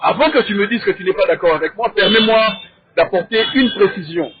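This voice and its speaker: A man with a Congolese accent debating in a measured, didactic way, in short deliberate phrases and with rhetorical questions. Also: high-pitched. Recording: thin, phone-like narrow sound.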